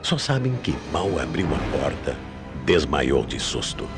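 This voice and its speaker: Very deep, animated Brazilian male radio narrator. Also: measured pace, loud music behind the voice. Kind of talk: dramatic scripted mystery storytelling for radio.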